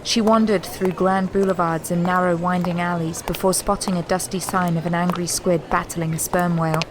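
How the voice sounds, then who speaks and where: A woman's voice: fairly low pitch, little pitch movement, normal speed, background noise. A woman delivering scripted, dramatic story narration for an audio drama. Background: prominent music.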